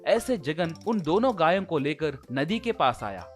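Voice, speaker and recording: A man's voice: on the high side, very animated, unhurried, soft background music. A man narrating a scripted folk tale as a storyteller.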